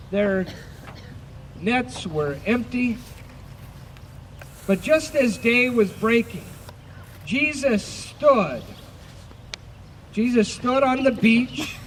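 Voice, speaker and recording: A man narrating scripture for a live outdoor dramatization, projecting deliberately and repeating phrases. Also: high-pitched, a bit bright. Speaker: narrator of an Easter service dramatization. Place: outdoors by a lake. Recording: faint outdoor noise, birdsong. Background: birds and outdoor ambience.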